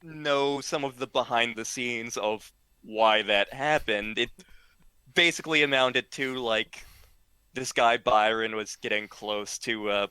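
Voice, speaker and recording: A man telling humorous anecdotes casually, in a rambling, halting and giggly way. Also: mid-range voice, sharp tone, smooth, dry recording. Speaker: storyteller, regular of the show.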